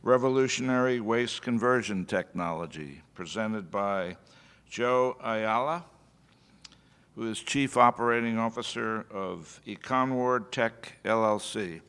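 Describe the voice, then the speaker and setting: A man's voice: lowish voice, unhurried, a bit bright, clear, resonant tone. A man moderating a conference session, formally introducing the speakers. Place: large conference hall. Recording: reverberant conference hall sound.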